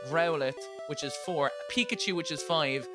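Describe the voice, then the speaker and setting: A man's voice: a little high, normal speed. A man co-hosting a podcast, talking in a jokey, sweary, casual way and playfully telling the story of a game playthrough. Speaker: podcast co-host. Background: clear background music.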